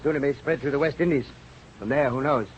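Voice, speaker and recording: An actor playing a hearty, jovial older doctor, exclaiming and persuading in an old radio drama. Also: male voice, medium pitch, steady tone, fast, lightly acted. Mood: urging, persuasive. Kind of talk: 1930s-40s radio drama acting. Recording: muffled, noisy old radio recording.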